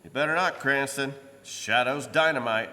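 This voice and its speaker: A man playing a sour, hard-nosed police commissioner in an old-time radio drama, gruff, sarcastic and emphatic. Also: mid-range voice, normal speed, sharp tone. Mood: stern. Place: live performance in a church. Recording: reverberant church hall.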